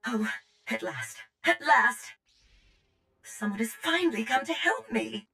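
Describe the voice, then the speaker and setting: A woman voicing a damaged medical droid in a video game, anxious, polite and pleading, with halting delivery. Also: a little high, lively intonation, vocal fry, sharp tone, faint music behind, heavily acted. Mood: desperate relief.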